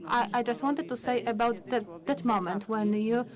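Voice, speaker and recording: A woman's voice: fairly high pitch, normal speed, a crowd in the background. Older woman, conference speaker, hesitant testimony with many restarts, hall audience audible. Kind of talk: personal testimony speech.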